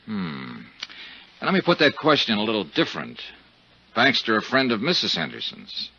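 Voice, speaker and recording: An actor playing a shrewd, dogged American investigator who questions and narrates in a clipped way in a 1950s radio detective drama. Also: male voice, on the low side, wildly swooping pitch, moderate pace, bright, forceful tone, breathy edge, heavily acted. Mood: probing, dry. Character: shrewd, dogged male insurance investigator narrating his case. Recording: muffled 1950s radio recording.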